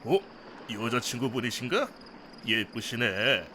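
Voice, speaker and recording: A man's voice: medium pitch, lively intonation, unhurried, bright, forceful tone, a little hiss or hum. A man playing an easygoing, chatty night angler in a visual novel, with a folksy, teasing manner. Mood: amused, teasing. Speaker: supporting character in a visual novel. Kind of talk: acted casual dialogue. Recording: faint night insect ambience behind voice.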